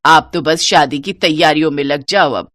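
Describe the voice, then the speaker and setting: A woman's voice: low voice, moderate pace, fairly bright tone, no room sound, voice pushed down. A woman voicing a kindly, hearty older mother-in-law in a moral story, repeating words as she speaks. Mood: reassuring. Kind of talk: Hindi moral story character acting.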